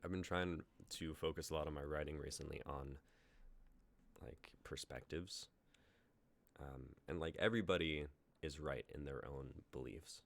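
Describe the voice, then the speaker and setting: A man's voice: a bass voice, moderate pace, creaky, fairly bright tone. A young man hosting a live-streamed script reading, chatting casually and reading stage directions from a home mic. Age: young adult. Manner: laid-back, laughs easily, reflective. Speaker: host and stage-direction reader. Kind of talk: casual chat and script narration.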